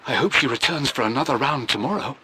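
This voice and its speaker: A man's voice: mid-range voice, fast, very noisy, lightly acted. An actor playing a refined, introspective English scholar with an English accent, musing theatrically as he records a journal in a video game. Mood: smitten, exhilarated. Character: scholarly English gentleman, obsessive researcher, smitten and introspective.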